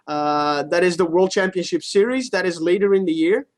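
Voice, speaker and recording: A man with a noticeably high voice talks as co-host of a gaming show. He explains things casually and informally, in the loose way of an online chat between friends. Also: moderate pace.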